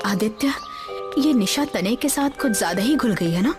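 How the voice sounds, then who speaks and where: A woman's voice: fairly high pitch, lively intonation, normal speed, lightly acted. A woman voicing an earnest, wary young girl adventurer in a cartoon story. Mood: suspicious. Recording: music behind the voice. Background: background music.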